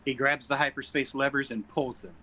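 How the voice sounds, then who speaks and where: Guy reading stage directions at a table read, bright forceful voice, medium pitch. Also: steady tone, brisk. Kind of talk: script narration at a table read.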